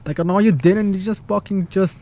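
A man's voice: high voice, normal speed, dark tone. Profane, joking man in casual chat. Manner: profane, joking, laughs often.

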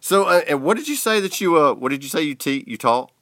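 A man's voice: fairly high pitch, fast, dry recording. Male podcast host, easygoing and rambling, dry close recording. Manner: relaxed, filler-heavy Southern talk. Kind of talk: casual interview chat.

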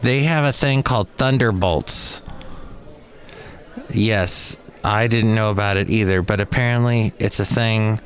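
A man's voice: on the low side, measured pace. A man hosting a podcast in a casual, rambling solo monologue, playful and wordy with puns and asides. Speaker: podcast host. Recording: music behind the voice. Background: music.

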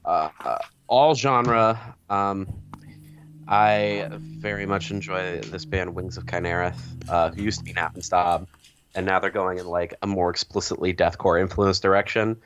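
A man in his twenties co-hosting a casual call-in chat, talking in a measured, wordy way with dry humor. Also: deep voice, fairly even intonation, a bit bright, a little hiss or hum. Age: 20s. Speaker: podcast co-host.

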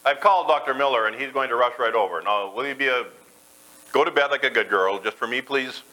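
A man voicing a husband who grows colder toward his sick wife, in a brisk, impatient manner. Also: mid-range voice, bright, forceful tone, lightly acted, low-quality recording. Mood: mock concerned.

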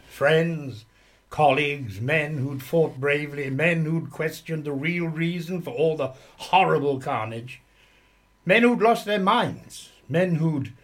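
A man playing a sombre soldier who recalls suffering comrades, narrating dramatically in a radio play. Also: fairly high pitch.

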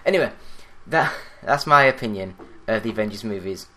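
Plain-spoken monotone Yorkshire man. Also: fairly low pitch, a little fast. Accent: Yorkshire English. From Yorkshire, England. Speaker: podcast host. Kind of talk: solo gaming news podcast.

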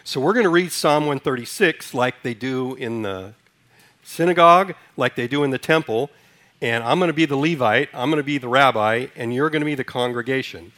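A man's voice: medium pitch, brisk, fairly bright tone. Man preaching a conversational sermon. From Sudan. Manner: conversational, asks the congregation questions; leads a responsive reading line by line. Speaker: pastor.

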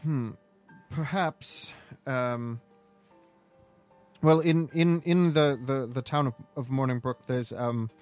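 A man playing a hesitant, apologetic, helpful apothecary shopkeeper in improvised tabletop roleplay, speaking haltingly and politely. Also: medium pitch, a little mellow, faint music behind, lightly acted.

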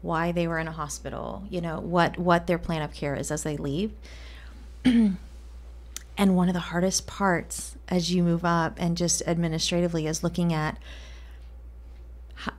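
A woman answering as an interview guest, reflective and thoughtful, feeling out her phrasing as she goes. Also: on the low side. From Colombia. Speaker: interview guest, healthcare department leader.

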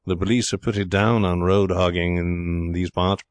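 A man voicing a sulky, wrathful young gentleman with a blunt, indignant manner in a storybook reading. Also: low-pitched, steady tone, moderate pace, clean tone, dry recording, lightly acted.

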